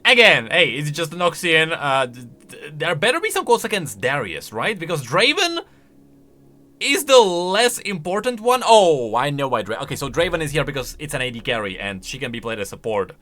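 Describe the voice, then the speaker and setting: A man's voice: fairly high pitch, big pitch swings, normal speed, bright, forceful tone, soft background music. A man giving casual, chatty commentary on video game lore, thinking aloud with emphatic delivery.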